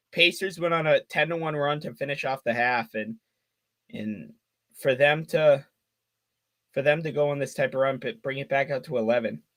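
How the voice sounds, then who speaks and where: A man of twenty giving fan play-by-play on a live stream, calling the action quickly and chatting with viewers between plays. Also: mid-range voice, a bit bright. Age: 20s. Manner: rapid call of the action mixed with chatty asides to viewers.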